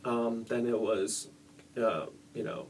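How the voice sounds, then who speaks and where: A man's voice: lowish voice, steady tone, takes it slowly, a little mellow. A young man born in Hong Kong, a fitness coach answering interview questions casually, with frequent ums. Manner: casual, laid-back, many ums and uhs.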